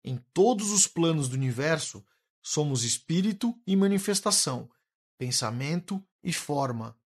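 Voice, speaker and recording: A male actor plays a benevolent head of a spirit-world refuge in a Brazilian radio drama. His voice is fairly high for a man and husky. He teaches in formal, measured Brazilian Portuguese phrasing.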